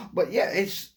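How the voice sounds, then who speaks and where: Middle-aged man who stutters, upbeat and playful, pitched a bit high, talking straight to camera. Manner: upbeat, playful, keeps adding "okay?". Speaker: vlogger. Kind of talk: casual motivational talk to camera.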